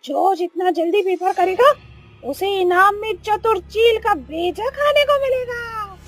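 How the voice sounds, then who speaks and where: A woman voicing a bossy, menacing female witch who acts as an exam teacher in a cartoon, making drawn-out threats. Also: very high voice. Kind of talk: Hindi cartoon voice acting. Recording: background music.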